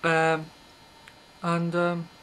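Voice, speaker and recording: Slow, rambling man in his thirties. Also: fairly high pitch, completely flat delivery, fairly bright tone, slight background noise. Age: 30s. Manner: rambling, self-interrupting, blunt and offhand. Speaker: video blogger. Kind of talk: casual rambling monologue to camera. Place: small bedroom with red curtains, indoors. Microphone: built-in webcam mic.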